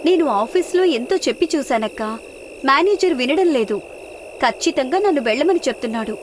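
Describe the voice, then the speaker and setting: A woman's voice: high voice, animated, fairly quick, background noise. A woman voicing a caring, responsible younger sister with an earnest, coaxing manner in a moral story. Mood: earnest pleading.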